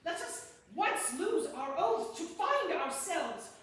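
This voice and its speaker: A woman playing a witty, passionate young lord in a Shakespeare staged reading, declaiming verse with dramatic pauses. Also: very high voice, very animated, fairly bright tone, heavily acted. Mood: rapt, persuasive. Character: witty, passionate young lord, eloquent lovesick male scholar. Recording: distant, echoey hall with faint noise.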